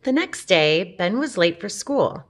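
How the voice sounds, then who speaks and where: A woman reading a children's picture book aloud, gently, with asides and questions to young listeners. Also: medium pitch, very animated, a little slow. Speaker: storybook reader.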